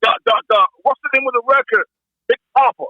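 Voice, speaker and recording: Very high, sharp-voiced argumentative American man. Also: sing-song, brisk, vocal fry, no echo, muffled recording. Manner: argumentative, blunt, profane. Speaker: podcast guest. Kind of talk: casual heated debate.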